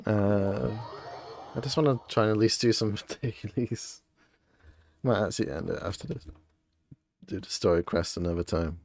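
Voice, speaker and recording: A woman's voice: a bass voice, moderate pace, long pauses, a little mellow. A woman game streamer giving casual live commentary, muttering to herself as she plays. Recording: game music behind the voice. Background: video game music.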